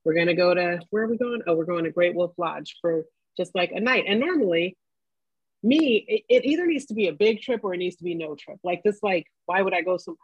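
A middle-aged woman with a lowish, husky voice, talking candidly and emphatically on a casual podcast. The sound is dull and dry, with no room around it. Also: fairly quick, no room sound. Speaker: podcast co-host. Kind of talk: casual chat.

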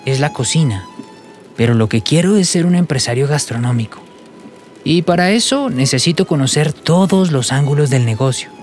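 A male actor plays a sincere, ambitious young Colombian man with a slightly high voice. He talks respectfully, in radio soap style, with faint music behind him. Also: expressive, normal speed, a little mellow, lightly acted. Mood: earnest, confident. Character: polite, earnest, ambitious young male student waiter. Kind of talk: radio soap opera acting.

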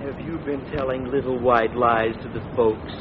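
An actor playing a sly, teasing, wisecracking office clerk in 1940s radio comedy, with a sarcastic manner. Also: male voice, medium pitch, steady tone, moderate pace, lightly acted. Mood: mocking. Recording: muffled, noisy old radio, crowd behind.

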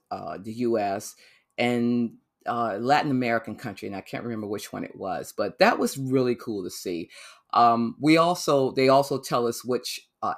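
A man hosting his own podcast, reflecting in a loose, rambling way with many pauses and restarts. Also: mid-range voice, fairly bright tone. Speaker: podcast host. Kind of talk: solo podcast monologue.

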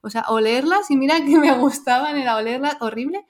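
A woman hosting a casual conversation about motherhood, talking in a chatty way with soft fillers. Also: high-pitched, a little fast. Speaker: podcast host.

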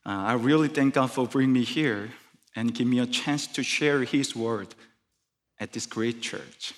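A man's voice: medium pitch, measured pace, long reverb tail. A man whose first language is Korean, preaching a sermon in careful English with a Korean accent, often restarting phrases. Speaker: guest preacher. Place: church sanctuary.

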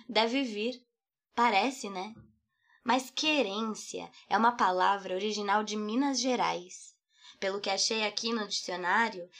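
A woman's voice: mid-range voice, a bit bright. A young Brazilian woman, an artist and poet, narrating her own thoughts in a reflective, playful, poetic way. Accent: Brazilian Portuguese. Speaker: podcast host, artist and poet. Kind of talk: scripted personal narration.

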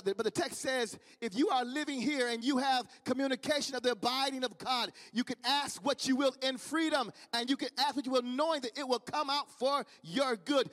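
Midwestern male preacher, very high voice, fervent repeating cadence, long hall reverb. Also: a bit bright. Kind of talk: sermon.

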